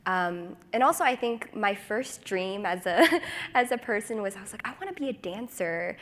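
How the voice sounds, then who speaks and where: A woman on a writers' panel, eager and quick to laugh, with lots of fillers. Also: medium pitch, expressive, a bit bright. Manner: enthusiastic, laughs often, many fillers. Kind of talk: panel discussion. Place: conference stage.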